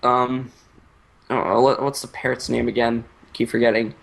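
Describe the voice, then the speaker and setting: A man improvising a joke story in a halting, stammering way while he draws, swearing often. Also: mid-range voice, little pitch movement, gravelly, low-quality recording. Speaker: storyteller, host.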